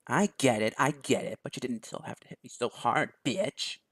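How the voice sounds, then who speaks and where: A man's voice: medium pitch, moderate pace, fairly bright tone, husky, dry recording, studio quality. A man voicing a cruel teenage boy who bullies others, in a sneering, taunting manner. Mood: sulky. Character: cruel teenage male village bully.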